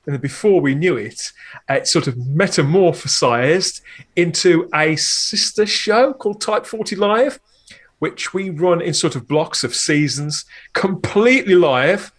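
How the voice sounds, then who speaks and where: A man's voice: on the high side, unhurried. A man chatting enthusiastically in an interview as a podcast host and producer, explaining things at length. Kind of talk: conversational interview talk.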